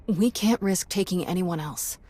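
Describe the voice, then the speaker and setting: An actress playing an earnest, spirited warrior companion in a video game, talking with urgency. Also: female voice, lowish voice, moderate pace, dark tone, breathy edge, no room sound. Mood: urgent, determined.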